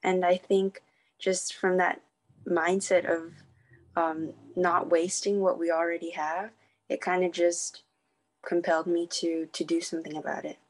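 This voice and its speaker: Young-sounding female award winner from Thailand, calm and flat, answering on a call. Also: on the low side, a little slow. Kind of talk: answering a question on a video call.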